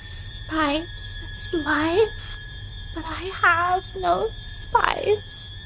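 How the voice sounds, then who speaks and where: A woman playing an anguished mystical navigator in a radio play, wailing and drawn-out in her delivery. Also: very high voice, vocal fry, background noise, exaggerated voice. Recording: music behind the voice.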